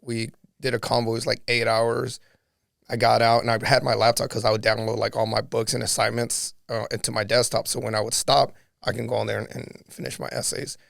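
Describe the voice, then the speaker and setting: A man with a raspy voice, a podcast guest telling his life story in an earnest, reflective way. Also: mid-range voice, flat delivery, a little fast, fairly bright tone, dry recording, studio quality. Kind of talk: casual storytelling conversation.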